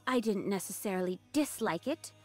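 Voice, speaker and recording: A woman playing a blunt, sharp-tongued young woman who hides her fondness behind reproach, in a video game cutscene. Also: medium pitch, expressive, normal speed, close to an everyday voice. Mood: grudgingly fond. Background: music.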